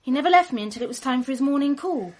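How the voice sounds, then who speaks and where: An actress playing an anxious, protective witness in a radio drama, earnest, defensive and a little faltering. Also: female voice, high-pitched, expressive, racing, a little mellow.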